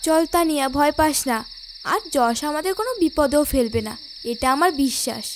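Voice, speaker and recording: A woman voicing a supportive young female student in an animated horror story. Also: high-pitched, a little fast, noisy background, close to an everyday voice. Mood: reassuring.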